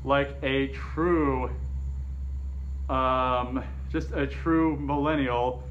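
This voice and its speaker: A man's voice: mid-range voice, steady tone, extremely slow, slight background noise. A man telling a personal story in a casual, rambling way, hesitant and often correcting himself. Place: open living room with kitchen at home. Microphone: camera mic a short way off, speaker inside a fursuit head. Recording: dull, slightly muffled home camera audio.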